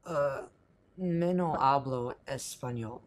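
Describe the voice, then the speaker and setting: A man's voice: medium pitch, close to an everyday voice. A man playing a sarcastic, bewildered young human lost in a desert, in a casual, slangy amateur audio play, with music behind him. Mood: confused. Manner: casual, slangy, exasperated, halting when confused. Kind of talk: amateur audio play with first-person narration. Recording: very loud music bed behind voice.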